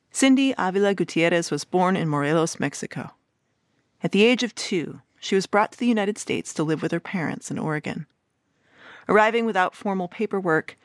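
A woman podcast host delivering a scripted introduction in a measured, formal way. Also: on the low side, no echo, studio quality. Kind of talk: scripted narration.

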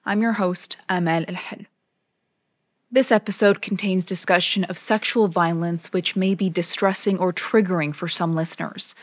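Woman host, scripted narration, measured delivery, dry close sound. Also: fairly low pitch, steady tone, dry recording. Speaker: podcast host.

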